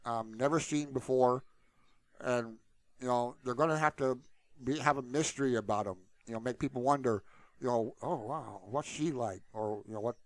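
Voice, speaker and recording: A man's voice: medium pitch, moderate pace, no echo. A man hosting his own channel, talking to viewers in a casual, unscripted ramble peppered with "you know". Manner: informal and unscripted, repeatedly saying "you know". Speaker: YouTube channel host.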